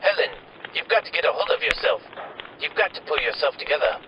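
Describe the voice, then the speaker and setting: A man playing a scheming doctor and secret lover in an old-time radio drama, brisk and urging. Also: fairly high pitch, animated, noisy background, natural voice. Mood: urgent scheming. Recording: dull old-radio sound, loud music behind.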